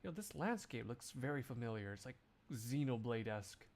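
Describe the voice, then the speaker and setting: A man's voice: medium pitch, lively intonation, normal speed, darkish tone. A man livestreaming, chatting casually to his viewers in a jokey, rambling, exclamatory way. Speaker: live streamer.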